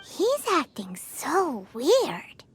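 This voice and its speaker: Brief: squeaky, excitable fairy companion with a bouncy delivery. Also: female voice, extremely slow, a little hiss or hum, heavily acted. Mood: wary. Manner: squeaky, excitable, speaks of herself by name. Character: tiny chirpy female fairy companion, bossy and excitable. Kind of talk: video game voice acting.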